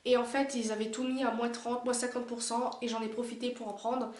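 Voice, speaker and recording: A woman's voice: fairly high pitch, flat delivery, brisk, darkish tone, echoey room. Chatty young woman with a cold. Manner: chatty, gushing, many fillers and restarts. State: has a cold. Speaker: vlogger. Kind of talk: casual chat showing a collection. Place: indoor room by a window.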